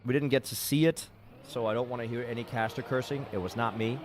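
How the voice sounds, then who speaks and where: A man's voice: medium pitch, fairly even intonation, fast talker, slight background noise. Man casting a racing game live. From Denmark. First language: Danish. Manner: self-deprecating, chatty, reacts to chat. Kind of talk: live game commentary with chat.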